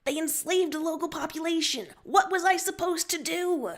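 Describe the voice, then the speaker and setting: A woman voicing a stubborn, weary alien rebel leader, flippant and deadpan, in a read-aloud story. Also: very high-pitched, moderate pace. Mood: urgent, defensive.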